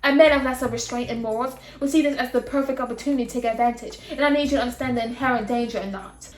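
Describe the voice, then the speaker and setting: A woman voicing a stern, formal male professor in a story game, speaking deliberately as if lecturing. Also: fairly high pitch, fairly even intonation, lightly acted. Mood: grave warning. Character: stern, measured male professor, older, cautioning and intense. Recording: roomy echo, music behind.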